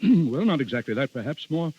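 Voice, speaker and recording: A man's voice: a little high, big pitch swings, brisk, slightly rough. An actor playing a genial middle-aged aristocratic father, formal and avuncular, in a vintage radio drama. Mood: puzzled. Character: genial aristocratic father, an Italian duke, kindly and composed. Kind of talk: 1930s-40s radio acting. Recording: muffled old radio broadcast recording.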